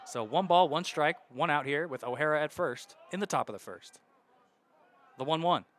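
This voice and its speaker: Bright-voiced young man calling baseball. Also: on the high side, moderate pace, a little hiss or hum. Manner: steady count-and-situation narration, rising loud on hits. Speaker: play-by-play announcer. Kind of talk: radio baseball play-by-play.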